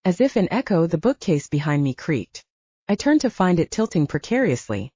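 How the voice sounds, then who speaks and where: A woman narrates first-person fiction in a mellow, slightly low voice. Her intonation is animated throughout. The recording is dry and a little dull. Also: moderate pace, husky, no echo. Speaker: audiobook narrator.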